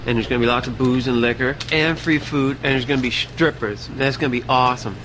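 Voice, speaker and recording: A dim, party-mad young sidekick, played by a man. He rattles his words off quickly. Heavy background noise covers the scene. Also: medium pitch, close to an everyday voice. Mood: excited. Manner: excitable, dopey, rapid.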